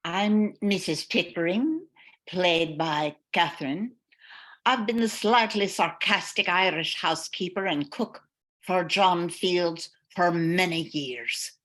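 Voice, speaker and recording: A woman's voice: on the low side, slow pace, a bit bright. An older woman playing a slightly sarcastic housekeeper and cook in an old-time radio drama. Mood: dry sarcasm. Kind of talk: 1940s radio acting.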